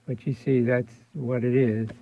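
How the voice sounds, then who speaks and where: Through thin, telephone-like audio, a man explains a repair job step by step. His pitch is average and the sound is dry, with little room around it. He talks informally, thinking aloud and restating points as a hands-on instructor. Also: little pitch movement, measured pace, vocal fry, no echo. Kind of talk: hands-on tutorial narration, casual and explanatory. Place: indoor electronics workbench. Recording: thin phone-like band-limited sound.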